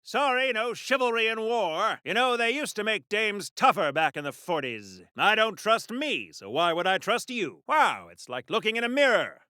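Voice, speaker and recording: An actor playing a cocky, melodramatic patriotic superhero from the 1940s, theatrical and corny like an old radio serial, for a video game. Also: male voice, high voice, sing-song, normal speed, hardly any pauses, bright, forceful tone, clean tone, no room sound, studio quality, heavily acted. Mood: cocky, wisecracking. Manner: theatrical, declamatory, corny wisecracking.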